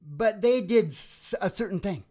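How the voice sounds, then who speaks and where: Excitable middle-aged man on a rant. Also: sits high, measured pace. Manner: emphatic, excitable, seeking agreement with tag questions. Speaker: live music show host. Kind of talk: opinionated casual rant. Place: small dim wood-panelled room. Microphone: stand microphone.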